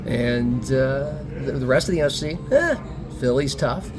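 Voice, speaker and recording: A man's voice: mid-range voice, lively intonation, normal speed, music in the background. A man hosting a sports talk podcast, chatting casually and putting questions to his guest.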